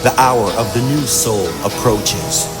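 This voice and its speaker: A man giving a measured, deliberate spoken-word poetry reading. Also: medium pitch. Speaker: poetry reader. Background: loud music soundscape.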